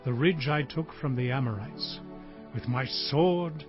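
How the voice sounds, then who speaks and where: An old Hebrew patriarch in a scripture drama. He declaims solemnly and very slowly, in a fairly high voice for a man. Music plays softly behind him. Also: lively intonation. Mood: firm, solemn. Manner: solemn, deliberate, grandly pronouncing. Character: frail aged Hebrew patriarch solemnly blessing his sons. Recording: muffled lo-fi audio under music.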